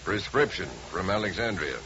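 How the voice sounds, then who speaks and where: An actor playing a gruff, businesslike police lieutenant in a 1950 radio detective drama, offhand and clipped. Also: male voice, low-pitched, expressive, normal speed, sharp tone, slight background noise. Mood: brusque, businesslike. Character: brusque, businesslike New York police lieutenant. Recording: dull old radio recording, busy background voices.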